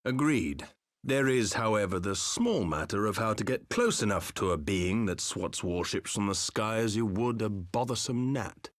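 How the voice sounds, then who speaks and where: A man's voice: on the low side, expressive, moderate pace, no echo, clean studio sound, natural voice. Pria memerankan pahlawan ketus bersuara agak rendah, rekaman kering. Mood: dry, grave. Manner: blunt, wary, dryly exasperated. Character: gruff, distrustful male engineer hero. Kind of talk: video game cutscene acting.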